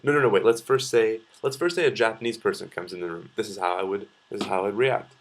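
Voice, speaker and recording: Low-voiced young Canadian man, rambling. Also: fast. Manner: hesitant, rambling, self-interrupting. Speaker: video blogger. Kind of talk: casual opinion vlog, talking to camera.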